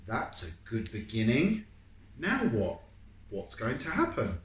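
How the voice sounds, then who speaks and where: Animated storybook reader in a roomy space. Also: mid-range voice, a little slow. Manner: encouraging, asks guiding questions and confirms answers. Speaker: adult reading a picture book to a young child. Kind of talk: storybook read-aloud mixed with casual questions to a child. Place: echoey room at home. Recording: roomy echo, some background noise.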